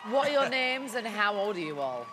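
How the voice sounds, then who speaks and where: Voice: medium pitch, sing-song, fairly quick. A talent show judge asking contestants questions in an upbeat, teasing way. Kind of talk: friendly on-stage questioning. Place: large theater with audience. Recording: noisy theater with crowd. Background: crowd.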